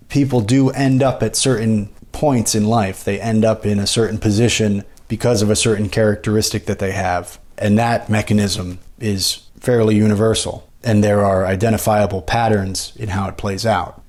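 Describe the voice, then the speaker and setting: An American man hosting a podcast, giving a measured, analytical literary commentary in an explanatory way. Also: fairly low pitch, a little mellow. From United States. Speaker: podcast host.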